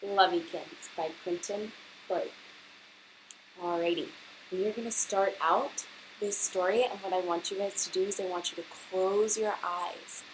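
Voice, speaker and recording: A woman teacher reading a picture book aloud to children and pausing to prompt them with questions, with hiss on the recording. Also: medium pitch, fairly even intonation, rough recording. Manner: instructional, pausing to prompt young listeners.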